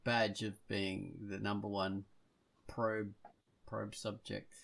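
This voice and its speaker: Creaky-voiced podcast co-host, casual and halting. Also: deep voice, little pitch movement, fairly bright tone.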